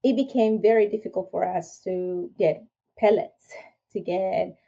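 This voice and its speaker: A woman's voice: mid-range voice, unhurried, creaky, warm tone. A woman from Venezuela with a Venezuelan Spanish accent, a podcast guest telling her personal story earnestly, with frequent restarts. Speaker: podcast guest, firearms instructor. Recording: dull lo-fi sound.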